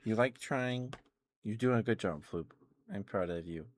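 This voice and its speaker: A man chatting casually in an ASMR style as a live streamer, in a halting, gentle, rambling way. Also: on the low side.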